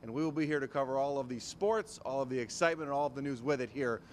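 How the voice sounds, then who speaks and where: An American man giving a live outdoor TV report on a handheld microphone. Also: quick pace. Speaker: TV correspondent. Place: outdoors at night. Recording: noisy outdoor live feed, rumble.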